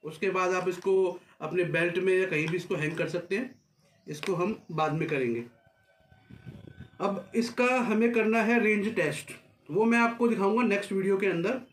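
A man's voice: high voice, moderate pace. A man giving a casual hands-on unboxing, recorded across a desk in a small room. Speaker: vlogger presenting a product unboxing. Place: small furnished office room, indoors. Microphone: camera a few feet away across a desk. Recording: roomy echo, faint background noise.

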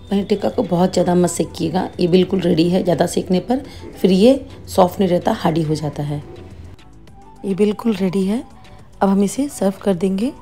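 A woman's voice: on the low side, warm tone. A woman hosting her cooking channel, patiently explaining each step of a home recipe.

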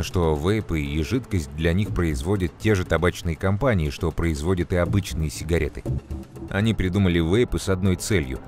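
A man playing a calm, knowledgeable mentor who explains things to children in an educational cartoon, measured and clearly enunciated. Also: low-pitched, natural voice. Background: music.

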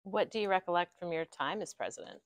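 A woman's voice: on the low side, fast talker, no echo. A woman off camera asking brief, plain interview questions.

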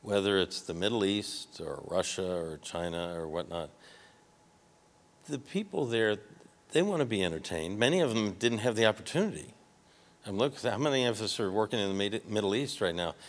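Older Midwestern man, folksy and low. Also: lively intonation, normal speed. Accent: Midwestern American. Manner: conversational, folksy, trailing restarts. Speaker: award recipient speaker. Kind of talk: conference talk with audience Q&A. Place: large hotel ballroom stage. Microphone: handheld microphone at a podium.

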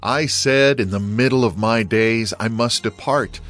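A man's voice: mid-range voice, unhurried, a bit bright, faint music behind, close to an everyday voice. An actor playing an ailing, devout king in a dramatized scripture reading, speaking earnestly and theatrically. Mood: sorrowful lament. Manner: earnest, poetic, theatrical delivery.

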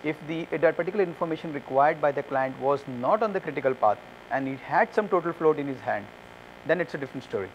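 Male speaker, 30s, rapid and halting, Q&A after a talk, light hum. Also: on the high side, lively intonation, lo-fi sound. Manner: fast, hesitant, many fillers and restarts. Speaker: conference speaker. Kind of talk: answering audience questions. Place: conference room with curtains. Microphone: lapel mic.

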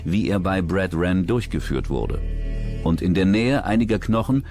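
A man narrating a true-crime documentary in a measured, serious scripted delivery. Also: low voice, a little mellow, faint music behind.

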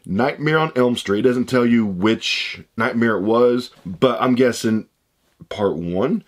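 A man in his thirties with a hoarse voice he says he is losing, chatting casually as he unboxes items at a desk indoors. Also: mid-range voice, measured pace. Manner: chatty, rambling, upbeat. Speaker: unboxing channel host. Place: room with shelves of shoe boxes, indoors.

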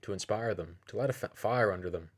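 Deep voiced guy doing opinion commentary for a video, slow deliberate persuasive delivery. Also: husky. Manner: measured, deliberate, persuasive phrasing.

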